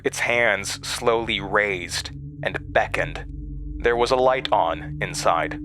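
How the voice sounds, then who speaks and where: An actor playing a haunted man recounting a terrifying memory in a horror audio drama, halting and hesitant. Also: on the low side, pushed and bright, rough recording. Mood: haunted, tense. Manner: halting, hesitant, with stammered restarts.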